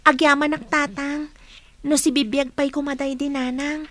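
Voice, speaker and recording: A woman's voice: high voice, flat delivery, normal speed, a bit bright, dry recording. A woman playing a dutiful, grateful teenage daughter in a radio drama, speaking earnestly and tenderly. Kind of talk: Ilocano radio drama acting.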